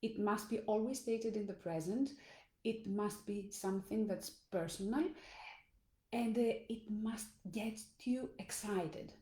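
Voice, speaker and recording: A woman's voice: mid-range voice, dark tone, roomy sound. A woman with a Greek accent, speaking English as a second language, gives an earnest motivational talk as a life coach. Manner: earnest and deliberate, with small restarts. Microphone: phone or camera in a live stream.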